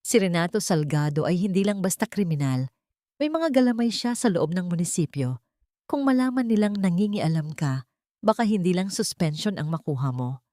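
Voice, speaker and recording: A woman's voice: on the low side, sing-song, normal speed, dark tone, no echo, clean studio sound. A woman voicing a wary, loyal male police sergeant giving urgent, cautioning warnings in an audio drama.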